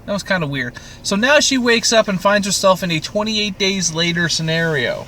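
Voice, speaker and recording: A man's voice: high voice, animated, normal speed, bright, forceful tone. A man co-hosting a podcast, joking and rambling through casual, sweary TV recap chat. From Canada. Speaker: podcast co-host. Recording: clear background noise.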